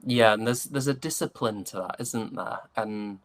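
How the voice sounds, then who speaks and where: A man's voice: on the low side, normal speed, no echo. A young British man answering interview questions conversationally, thoughtful and often hesitating as he searches for the right words. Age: young adult. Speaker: stage actor being interviewed.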